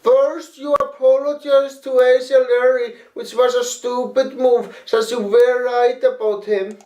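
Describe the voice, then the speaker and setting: High-pitched, slow man lecturing bluntly. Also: flat delivery, a little mellow, reverberant. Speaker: video critic. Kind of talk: reading a prepared response video.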